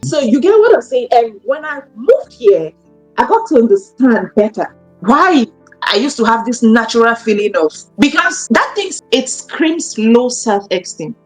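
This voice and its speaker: A woman's voice: fairly high pitch, big pitch swings, measured pace, soft background music, low-quality recording. A woman, a guest in a conversational interview, who talks emphatically and repeats her points for stress. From Cameroon. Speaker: guest, academic.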